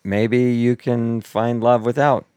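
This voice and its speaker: A man's voice: medium pitch, level tone, slow, warm tone, clean tone, dry recording. A man from the Seattle area, co-hosting a casual podcast chat, rambling and restarting his sentences and laughing often. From Seattle area, United States. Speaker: podcast co-host.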